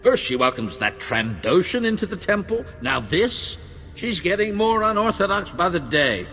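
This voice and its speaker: A man's voice: sits high, very animated, normal speed, fairly bright tone, full character voice. A man playing a stern, disapproving Jedi master in a video game, indignant and emphatic. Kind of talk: video game dialogue acting. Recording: reverberant hall, faint music.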